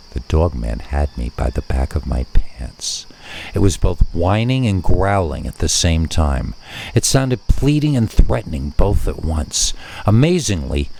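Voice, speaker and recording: Male horror narrator, low register, animated delivery, scripted first-person storytelling over faint insect background noise.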